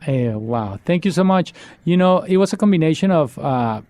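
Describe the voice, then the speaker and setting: A man, a musician guest, answering interview questions in a relaxed storytelling way with fillers and restarts. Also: a little high, normal speed, no room sound. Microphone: studio microphone.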